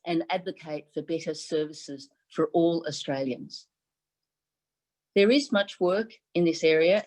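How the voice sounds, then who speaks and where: A woman's voice: low-pitched, darkish tone. A middle-aged woman born in Taiwan whose first language is Mandarin, giving a formal speech as a medical advisor over a video call from a room at home. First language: Mandarin Chinese. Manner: measured, formal delivery in short phrases. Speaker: chief medical advisor giving a symposium address. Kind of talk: prepared speech. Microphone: video call webcam.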